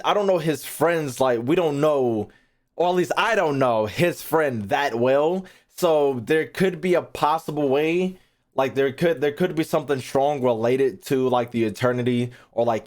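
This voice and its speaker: A man's voice: medium pitch, a little mellow. A man thinking aloud as a gaming streamer, casual and slangy, swearing freely, repeating phrases and trailing off mid-thought.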